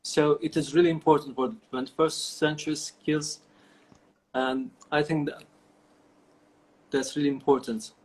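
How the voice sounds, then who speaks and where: A man's voice: a little high, little pitch movement, moderate pace, pauses often, rough recording. A middle-aged man with a Turkish accent, answering interview questions over a video call, with many fillers and restarts. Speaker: guest. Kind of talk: online interview.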